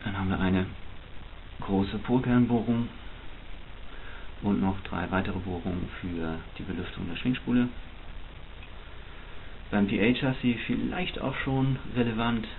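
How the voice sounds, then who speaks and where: A man's voice: lowish voice, unhurried, pauses often. A man giving spontaneous, technical and explanatory unboxing commentary as a hobby reviewer. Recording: muffled phone-like sound, clear hiss.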